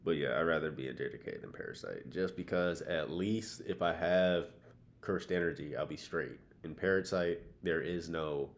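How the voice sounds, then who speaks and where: A man with a very deep voice chats as a podcast co-host. His delivery is casual and matter-of-fact, with slang and the occasional swear word. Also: moderate pace. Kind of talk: casual banter, would-you-rather questions.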